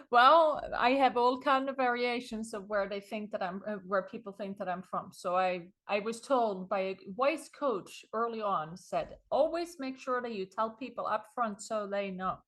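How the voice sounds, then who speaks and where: A middle-aged woman from Sweden with a Swedish accent, being interviewed and telling her story in a lively way with frequent small laughs. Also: on the high side, a little slow. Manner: animated storytelling, chuckles often. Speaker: guest author. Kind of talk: conversational interview.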